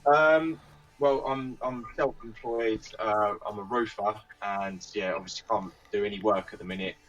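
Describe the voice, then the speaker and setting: A man's voice: lowish voice, fairly even intonation, normal speed, a bit bright. A British man being interviewed, talking casually and a little hesitantly over a remote call. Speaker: band member interviewee. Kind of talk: casual chat. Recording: slightly dull remote call audio.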